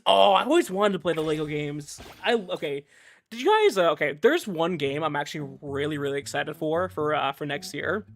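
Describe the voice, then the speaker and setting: A man's voice: a little high, fairly bright tone. A man livestreaming a game, chatting casually in an enthusiastic, rambling way and often restarting his sentences. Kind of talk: casual chat while streaming gameplay. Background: music.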